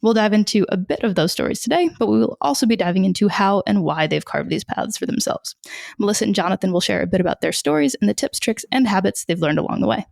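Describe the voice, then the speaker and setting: A woman's voice: mid-range voice, quick pace, gravelly, darkish tone, no room sound, clean studio sound. A woman hosting an interview podcast, reading an upbeat scripted intro and then asking short questions. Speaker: podcast host.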